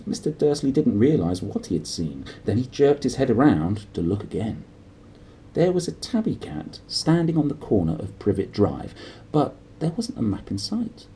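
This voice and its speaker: A man's voice: fairly low pitch, slight background noise. A man hosting a read-aloud of a children's novel, speaking expressively with dramatic emphasis, as if straight to the listener. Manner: animated, dramatic emphasis, addresses the listener directly. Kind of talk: expressive storybook reading with chatty intro.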